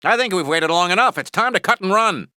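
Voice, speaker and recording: An actor playing a smug, vain middle-aged American TV news anchor in a cartoon game, with a pompous mock-serious broadcast delivery. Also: male voice, sits high, lively intonation, brisk, pushed and bright, clear, resonant tone, no echo, clean studio sound, heavily acted. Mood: vain, breezy. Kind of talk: cartoon game newscast commentary.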